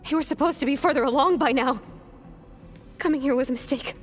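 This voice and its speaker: A woman's voice: sits high, faint music behind, natural voice. A woman playing an anxious, bookish young clone. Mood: panicked, despairing. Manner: halting, clinical, precise wording. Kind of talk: dramatic video game acting.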